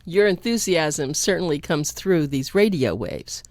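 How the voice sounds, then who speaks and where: A woman's voice: low voice, expressive, a little slow, darkish tone, no echo, studio quality. A woman hosting a radio interview, asking questions and giving short affirmations. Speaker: radio show host.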